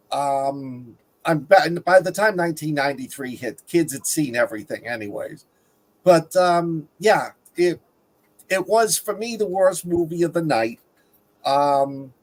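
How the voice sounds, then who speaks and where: A middle-aged man giving his take on films as a panelist, casual, chatty and anecdotal. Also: a little high. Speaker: film review panelist. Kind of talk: casual movie discussion.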